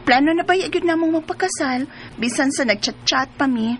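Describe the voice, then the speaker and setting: A woman playing a lovestruck, excitable young Filipina in a radio drama, expressive, with a Cebuano accent. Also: high-pitched, moderate pace. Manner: gushing, expressive.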